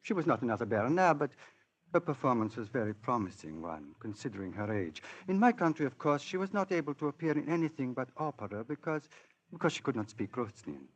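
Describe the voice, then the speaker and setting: Casting: passionate, proud prince, mid-pitched, swooping emphatic delivery, golden-age radio mystery. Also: male voice, big pitch swings, fast. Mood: wistful, admiring. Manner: formal, dramatic, emphatic. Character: proud, grieving foreign prince, passionate and formal. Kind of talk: 1940s radio drama acting. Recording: vintage radio show recording.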